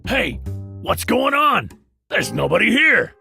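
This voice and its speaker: Gravelly high-pitched irritable guard. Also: male voice, wildly swooping pitch, takes it slowly, bright, forceful tone, faint music behind, full character voice. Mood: alarmed, angry. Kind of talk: cartoonish game character acting.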